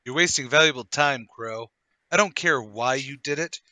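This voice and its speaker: A man plays a vengeful gentleman in a mystery radio play. The voice is bright and sharp, close and dry, with an accusatory, impatient push behind it. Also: medium pitch, normal speed, no echo. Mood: angry, accusing. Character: bitter, impatient gentleman demanding vengeance for his murdered brother.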